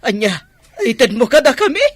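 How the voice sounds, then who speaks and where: A woman's voice: fairly high pitch, sing-song, a little fast. A woman acting a bereaved village mother in a radio comedy drama, with a Filipino accent. She is feisty and melodramatic, with intonation that swoops up and down. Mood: suspicious.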